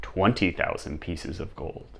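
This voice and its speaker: A man voicing a magic lamp that flatters and tempts in a drawn-out way, in a told story. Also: sits low, gravelly, slightly rough, voice pushed down, full character voice. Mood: tempting. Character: magic lamp, smooth-talking slow tempter. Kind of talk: storytelling character voice.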